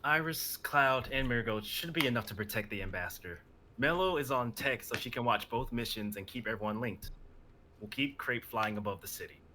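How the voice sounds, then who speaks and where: A man's voice: medium pitch, brisk, bright, forceful tone, slight background noise, lightly acted, low-quality recording. A man playing a reckless, dutiful soldier in a dramatic reading of a sci-fi script. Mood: firm, confident. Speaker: reader of stage directions and a character.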